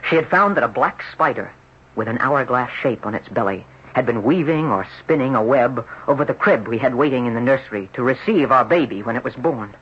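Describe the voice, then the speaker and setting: A man's voice: mid-range voice, animated, a little fast, breathy edge. Anguished man confessing, widely swinging radio-drama voice. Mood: tormented. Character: anguished, jealous ex-surfer haunted inside a rich man's body. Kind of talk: old-time radio drama acting. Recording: lo-fi noisy vintage radio drama recording.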